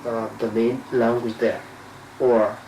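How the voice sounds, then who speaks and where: A man's voice: medium pitch, flat delivery, takes it slowly, darkish tone, distant mic. Man, interviewer, hesitant, short replies, faint background hiss. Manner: short backchannels, hesitant when making his own points. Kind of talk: interview discussion. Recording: echoey room, voice off-mic, faint noise.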